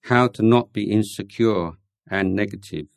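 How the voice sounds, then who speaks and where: Male monk, low-pitched, halting delivery full of ums, dry close sound; fields audience questions informally. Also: vocal fry, dry recording. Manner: hesitant, many ums and restarts. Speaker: Buddhist monk answering questions.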